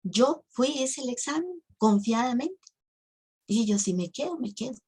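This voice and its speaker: Brief Woman in her fifties, somewhat high and husky voice, moving testimony to her sisters in faith. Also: animated, measured pace, darkish tone. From Costa Rica. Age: 50s. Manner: emotional storytelling, addresses the audience as sisters. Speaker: speaker at a women's ministry. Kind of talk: religious testimony.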